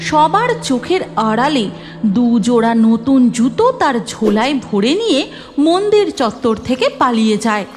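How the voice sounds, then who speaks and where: A woman reading scripted cartoon story narration in an expressive, dramatic way. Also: sits high, moderate pace.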